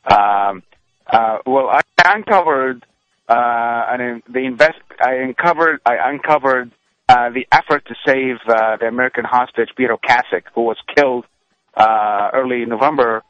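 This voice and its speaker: A male journalist talks as a radio guest, telling his story haltingly and full of fillers. The sound is dull and lo-fi, as if from radio, and dry with no room echo. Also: medium pitch. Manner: hesitant, many fillers, eager storyteller. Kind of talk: radio interview.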